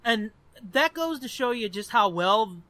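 A man's voice: high voice, animated, a little slow, sharp tone, dry recording. An American man in his twenties hosting a podcast, chatting casually and telling personal stories in a rambling, profanity-laced way. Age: 20s. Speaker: podcast host.

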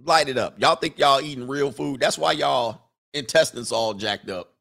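A man's voice: mid-range voice, moderate pace, vocal fry, pushed and bright. A man hosting a livestream, rambling casually, reading chat comments aloud and laughing often. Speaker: livestream host.

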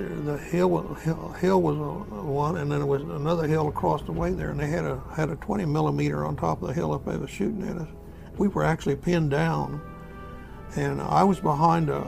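An elderly American war veteran recounting his memories in a folksy, halting, repetitive way. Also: on the high side, creaky. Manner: folksy, halting, repeats himself. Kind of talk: spoken first-person war reminiscence.

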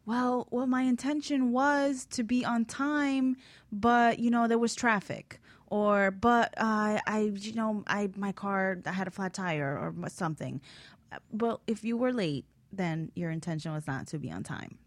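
Lively woman guest, dry close sound. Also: medium pitch, a little slow, no room sound. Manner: animated, acts out excuses, laughs often. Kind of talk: casual radio talk.

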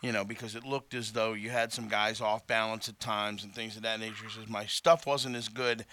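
A man's voice: fairly low pitch, flat delivery, fairly quick, pushed and bright. A man co-hosting a sports radio show, talking casually as a baseball reporter.

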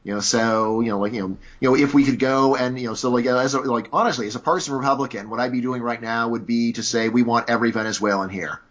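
A man's voice: mid-range voice, steady tone, fast, hardly any pauses, fairly bright tone. Opinionated man, filler-heavy talk, muffled audio. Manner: argumentative, lots of "you know" fillers. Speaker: interview guest. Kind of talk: conversational interview.

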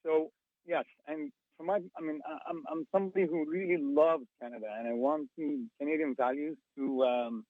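A man's voice: on the high side, normal speed, dry recording. A man from Lebanon with a Lebanese accent, calling in to a talk radio show and searching for his words. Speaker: caller. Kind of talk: phone-in call. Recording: phone line.

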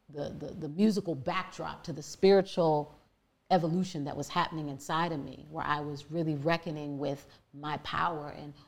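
A woman with a deep voice telling her personal story candidly and emphatically, with many fillers and restarts. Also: darkish tone. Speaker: guest.

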